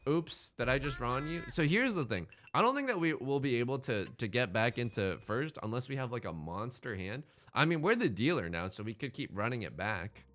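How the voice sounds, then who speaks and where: A man's voice: medium pitch, a bit bright. A man hosting a gaming let's play in casual, bantering commentary, thinking aloud and often repeating his phrases.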